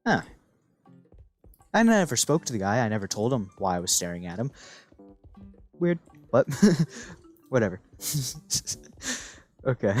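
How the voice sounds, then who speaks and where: A teenage boy chats on in a loose, rambling way, tossing in goofy asides. His pitch swings widely up and down as he talks. Faint music plays softly underneath. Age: teens. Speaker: gaming channel host. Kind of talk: casual rambling monologue.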